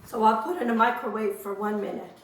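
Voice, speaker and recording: A hoarse woman, chatting homely and rambling as she demonstrates a recipe in her home kitchen. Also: on the high side, fairly even intonation, fairly quick, far from the mic, slight background noise. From Azores. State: hoarse from a lingering cough. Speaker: cooking channel host. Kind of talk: casual cooking demonstration. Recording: roomy kitchen, voice off-mic.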